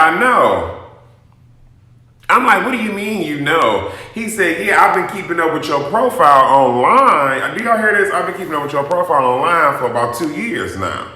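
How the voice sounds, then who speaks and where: A man telling a personal story to his viewers in a sassy, dramatic, animated vlogging style. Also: on the high side. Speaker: YouTube vlogger. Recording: very echoey, roomy sound.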